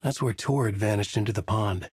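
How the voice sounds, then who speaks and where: A man voicing a brooding, haunted crime novelist from a horror video game, narrating in a grave, intense, deliberate noir style with a husky voice. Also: on the low side, no echo, clean studio sound. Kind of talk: noir scripted narration and character lines.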